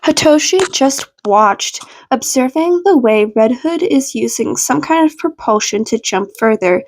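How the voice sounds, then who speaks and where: A woman's voice: high-pitched, lively intonation, measured pace, poor audio. A woman narrating a story from a script. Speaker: podfic narrator.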